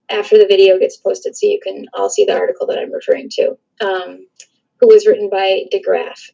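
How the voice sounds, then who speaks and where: A woman's voice: medium pitch, gravelly, dark tone, dull audio. Young woman reading a class presentation. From northern suburbs of Chicago. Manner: reads steadily with frequent ums and small restarts. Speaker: student presenter. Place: small room indoors. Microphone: laptop webcam on a video call.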